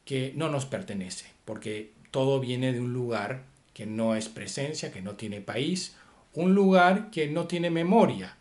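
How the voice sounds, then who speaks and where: A man giving an unscripted philosophy lecture, pausing often with fillers and asking rhetorical questions. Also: mid-range voice, lively intonation, a little mellow.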